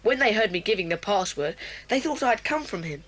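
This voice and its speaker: A woman voicing a plucky schoolboy who reports what happened in a steady, plain, matter-of-fact way in a 1980s children's audio drama. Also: medium pitch, brisk.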